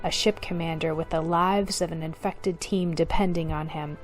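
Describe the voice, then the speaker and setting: A woman's voice: low voice, fairly even intonation, moderate pace. A woman reading a scripted introduction as the host of a radio drama show. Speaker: host and director. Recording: music under the voice.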